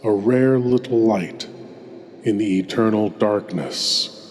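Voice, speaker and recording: A man's voice: on the low side, takes it slowly. A man playing a ghoulish, courtly lord of the shadows as a theatrical, ominous horror host. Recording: long cavernous reverb.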